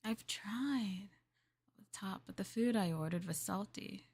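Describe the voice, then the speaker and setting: A young woman streamer in a maid roleplay, chatting in a polite, formal way, giggling and dropping into soft asides. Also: mid-range voice, measured pace, creaky, slightly rough. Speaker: VTuber streamer.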